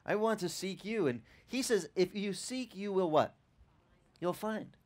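A man in his fifties preaching outdoors on a beach with a headset mic, talking in a loose, animated way with asides to the crowd. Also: sits high, unhurried. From Italian-American upbringing. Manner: animated, conversational preaching with restarts and asides to the audience. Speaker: pastor. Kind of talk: outdoor sermon. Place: open-air beach tent service.

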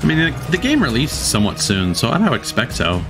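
A man's voice: medium pitch, wildly swooping pitch, fast, over music. A man hosting a watch-along with casual, dry, critical commentary, close to the mic.

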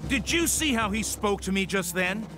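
A proud, suspicious colonial captain. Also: male voice, high-pitched, animated, normal speed, over loud music. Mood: indignant. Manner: indignant, blunt.

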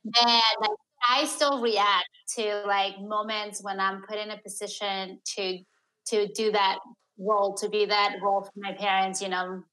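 A woman's voice: medium pitch, little pitch movement, a little slow, pushed and bright, a little hiss or hum, low-quality recording. A woman from Peru talking as a podcast guest, reflective and searching, with many "like" fillers. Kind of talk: candid interview conversation.

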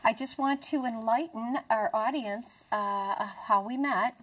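A middle-aged American woman presenting a religious talk show in an earnest, deliberate way. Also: a little high, a bit bright, far from the mic, poor audio. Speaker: TV show host. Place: small dark TV studio, indoors.

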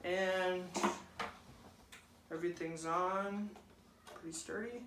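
A teenage boy hosting a casual unboxing video in a living room, slowly talking through each step as he assembles a toy. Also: high-pitched, steady tone, fairly bright tone, a little hiss or hum. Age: teens. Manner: slow, halting, describes each step aloud. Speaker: unboxing host. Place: living room, seated at a table. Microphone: camera across the table. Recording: roomy, camera some distance off.

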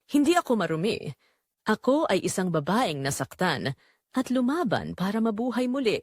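A woman performs a remorseful young woman with a Filipino accent, telling her own story in an audio drama. Her medium-pitched voice moves expressively up and down. The delivery is earnest, reflective and wounded, in a very dry recording. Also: sing-song, moderate pace, darkish tone, dry recording. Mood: resolute, bittersweet. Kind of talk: scripted first-person dramatic narration in Tagalog audio drama.

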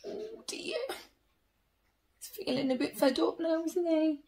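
A woman in her thirties reading a picture book aloud to children, with hushed, chatty asides to them. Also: very high voice, animated, measured pace, a little hiss or hum. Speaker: children's story reader. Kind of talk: expressive read-aloud with asides to young viewers. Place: small room indoors.

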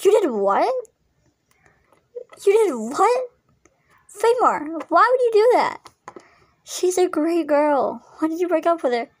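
A blunt, caring young friend in an amateur story drama, voiced by a woman. The speech is slangy and emphatic, in a somewhat high voice. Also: steady tone, normal speed, pauses often, darkish tone, close to an everyday voice. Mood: shocked.

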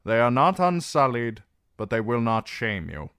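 A man's voice: medium pitch, very animated, unhurried, fairly bright tone, smooth, dry recording, studio quality, voice pushed up. A man voicing a stolid, formal eunuch soldier.

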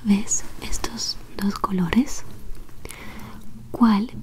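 Young woman, whispered ASMR medical exam role play, very unhurried, soft step-by-step instructions. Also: mid-range voice, fairly even intonation. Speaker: ASMR performer playing a medical examiner.

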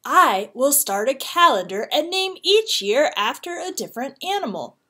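A woman's voice: sits high, big pitch swings, normal speed, bright, forceful tone, voice pushed up, heavily acted. A woman voicing a commanding male emperor, king of heaven, proclaiming in a storybook. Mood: decisive. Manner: declarative, proclaiming.

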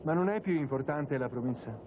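A voice actor playing an earnest, excitable young man in a cartoon dub, speaking expressively. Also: mid-range voice, brisk, noisy background, natural voice. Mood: earnest concern. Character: earnest, excitable young male judo follower. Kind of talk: cartoon dubbing. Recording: narrow phone-like dub with music behind.

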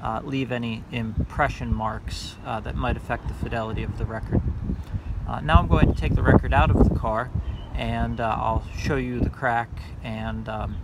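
A man talking through a hands-on repair tutorial in a halting, unscripted way, thinking aloud as he works outdoors. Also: medium pitch, steady tone. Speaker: hobbyist demonstrating a repair. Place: outdoors by a car on a windy day. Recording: clear outdoor background noise.

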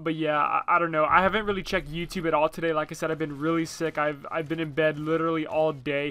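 A man's voice: on the high side, pushed and bright, clean tone. A young man with a stuffed-up nose, rambling apologetically through a gaming commentary voice-over with many fillers. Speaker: gaming YouTuber.

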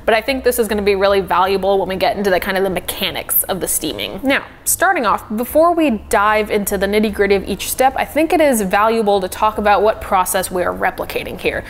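A woman's voice: medium pitch, expressive, fast, few pauses, slight background noise. A young woman in her twenties hosting a coffee tutorial in her home kitchen, chatty and upbeat, laughing often. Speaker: coffee video host. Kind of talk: instructional kitchen vlog.

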